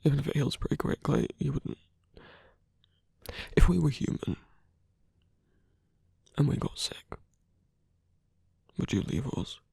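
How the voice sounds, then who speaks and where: A performer in an intimate ASMR roleplay, voicing a gentle, protective supernatural lover with a husky, breathy voice and a hesitant, earnest delivery. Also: medium pitch, big pitch swings, measured pace, pauses often, dry recording, clean studio sound, full character voice. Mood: earnest, devoted.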